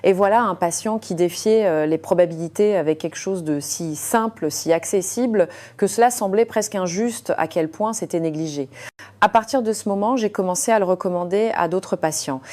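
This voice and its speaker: A woman narrating a first-person story as a surgeon, in a measured, confiding way with frequent hesitant fillers. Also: on the low side, warm tone.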